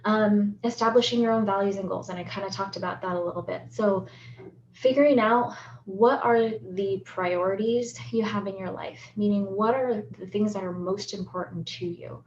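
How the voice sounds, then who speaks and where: A woman psychologist from the United States talks through an educational lesson, steady and explanatory. She keeps checking in with the listener with a quick "right?" The recording sounds roomy and a little dull, with a faint hum underneath. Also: medium pitch. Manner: explanatory, often ends points with "right?". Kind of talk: educational talk on relationships.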